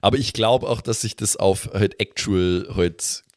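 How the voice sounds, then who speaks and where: Low-pitched man at a game table. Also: unhurried, no room sound, clean studio sound. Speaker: player in an improvised pen-and-paper audio play. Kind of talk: casual improvised game table chat.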